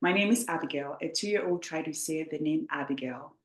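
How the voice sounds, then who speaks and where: A woman's voice: deep voice, a little fast. A woman from Ghana, a guest author, telling her story in an earnest, heartfelt way. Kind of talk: interview storytelling. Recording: echoey room.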